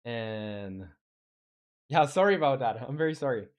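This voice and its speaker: A young man from Chile, a native Spanish speaker with a Chilean accent, chatting casually on a live game-dev stream and reading viewer comments with halting restarts and fillers. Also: medium pitch, expressive, lo-fi sound.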